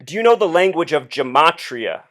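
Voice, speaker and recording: A man with a somewhat high-pitched voice talks as a caller laying out his case. He is earnest and insistent, explaining point by point with deliberate phrasing and pointed questions. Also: very animated, a bit bright. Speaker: caller phoning the police with a theory. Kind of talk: earnest explanatory phone monologue.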